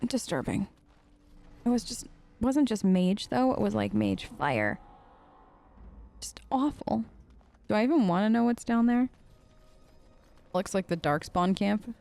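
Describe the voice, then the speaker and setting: A woman giving chatty, excitable gameplay commentary as a streamer, talking straight to her viewers. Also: medium pitch, unhurried, gravelly, pauses often, faint music behind. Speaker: let's play streamer.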